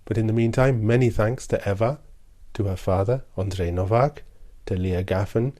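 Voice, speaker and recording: A man's voice: on the low side, normal speed, warm tone. A man presenting a radio series in a scripted broadcast style.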